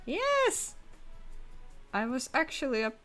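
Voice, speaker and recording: A young woman with a lively, swooping voice chats on her live stream at a slow, unhurried pace. She giggles often, breaking into laughter between her thoughts. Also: sits high, soft background music. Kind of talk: casual live-stream chat.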